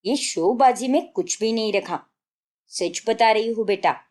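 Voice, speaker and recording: A woman voicing an elderly grandmother in a family story, patient and principled, gently admonishing as she moralizes. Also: a little high, unhurried. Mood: gently firm.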